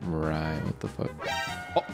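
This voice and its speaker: A man giving excitable, exclamatory gaming commentary as the host of a roleplay video. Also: a bass voice, takes it slowly, music in the background. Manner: animated, exclamatory, swearing.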